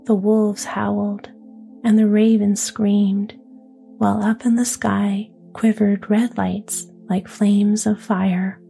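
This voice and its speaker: A woman narrating a fairy tale in a soft unvoiced whisper, ASMR style. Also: mid-range voice, little pitch movement, slow, creaky, faint music behind.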